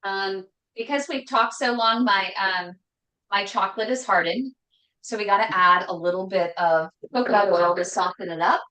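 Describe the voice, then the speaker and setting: Loud, chatty woman in an echoey kitchen. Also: medium pitch, moderate pace. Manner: loud voice that carries, chatty and emphatic. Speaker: host cooking on a live stream. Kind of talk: casual cooking chat. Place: home kitchen.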